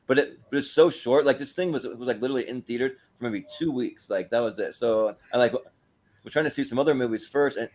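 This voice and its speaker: Male panel host, medium pitch, casual chat style, meandering delivery with repeated words. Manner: rambling, repeats words as he goes.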